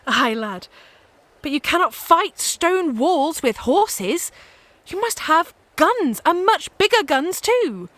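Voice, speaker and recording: Young female holy warrior, radio play, impassioned, declamatory, high bright voice, very dry close sound. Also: very animated, slow pace, no echo, exaggerated voice. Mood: bold, defiant.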